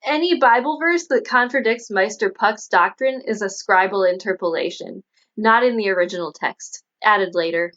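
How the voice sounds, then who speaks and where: A woman's voice: medium pitch, normal speed, fairly bright tone, natural voice. A woman voicing an eccentric, devout young woman in an audiobook, guileless and matter-of-fact about absurd claims. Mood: dogmatic, assured. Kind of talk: audiobook character dialogue. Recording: dull lo-fi audio.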